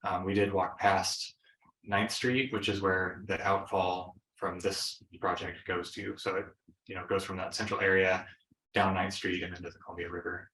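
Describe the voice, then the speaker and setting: A man presents at a conference in a low, flat voice with a bright edge. He explains plainly, with fillers along the way. The room adds a clear echo. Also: moderate pace, slight background noise. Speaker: presenter, engineering consultant.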